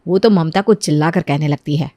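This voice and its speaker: A woman narrating a scripted moral story as an expressive storyteller. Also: deep voice, a little fast, no echo.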